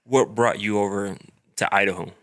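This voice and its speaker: A man's voice: fairly low pitch, steady tone, moderate pace, fairly bright tone. A man hosting a podcast interview, chatting casually and rambling with lots of fillers. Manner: rambling, filler-heavy, friendly. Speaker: podcast host.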